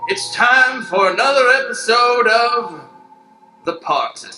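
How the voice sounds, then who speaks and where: Male narrator for a live Western radio play: high-pitched, folksy, dramatic, roomy stage sound. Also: animated, unhurried, over loud music. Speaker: narrator in an improv show. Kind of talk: theatrical improvised Western radio-serial narration. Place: live improv show on a stage. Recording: echoey stage.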